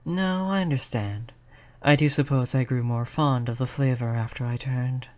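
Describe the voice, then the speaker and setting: A performer voicing a gentle, polite vampire in an intimate roleplay audio, speaking in a soothing, conversational way at a medium pitch. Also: moderate pace, close to an everyday voice. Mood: pleased, soothing. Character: gentle, reassuring vampire, eighty years old, eternally polite. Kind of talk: roleplay audio drama, intimate one-on-one talk to listener.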